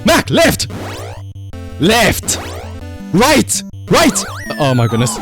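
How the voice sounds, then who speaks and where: Male livestream gamer, slightly high voice, very lively intonation, casual and sweary, excitable. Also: drawn-out words, faint music behind. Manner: excitable, emphatic, shouts at the game, swears. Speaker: live streamer. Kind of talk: casual livestream gaming commentary.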